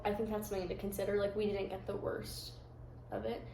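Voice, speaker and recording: A young American woman chatting casually, talking candidly and reflectively in a soft-spoken way. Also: medium pitch, little pitch movement, fast, vocal fry, roomy sound, slight background noise. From United States. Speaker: guest. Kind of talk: casual chat.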